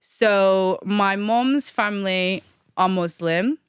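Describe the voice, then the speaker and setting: A woman's voice: medium pitch, slow, clear, resonant tone, dry recording, studio quality. A young woman, talking casually and animatedly as a guest in an interview, with many fillers. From Manchester, England. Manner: animated, chatty, hesitant with fillers.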